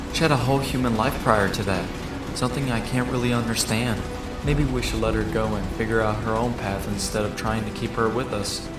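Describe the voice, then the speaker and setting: A man voices a thoughtful teenage mutant turtle from a comic, musing in an earnest, lonely monologue. His mid-pitched voice sounds echoey and set back from the mic, with music clearly playing behind. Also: natural voice. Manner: earnest, reflective. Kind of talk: introspective scripted monologue. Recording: roomy, distant mic under loud music.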